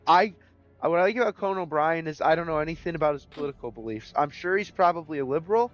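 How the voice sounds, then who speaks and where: A hoarse man chats loosely as he hosts a gaming stream, rambling a little and signing off with jokey farewells. His voice is starting to crack. The audio is slightly dull, with faint music underneath. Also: on the high side, animated, quick pace. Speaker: stream host. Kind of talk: casual gaming stream chat.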